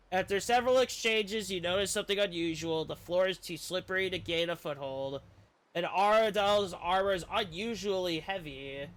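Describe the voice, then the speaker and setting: A man's voice: sits high, fairly bright tone. A man streaming a game, reading the story text aloud with casual commentary and the odd stumble over words.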